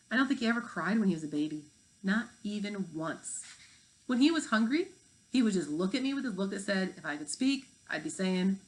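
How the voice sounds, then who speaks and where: A woman's voice: lowish voice, natural voice, poor audio. A proud mother played by a woman reading aloud speaks with wondering emphasis. She is a storybook mom, a little baffled by her gifted child.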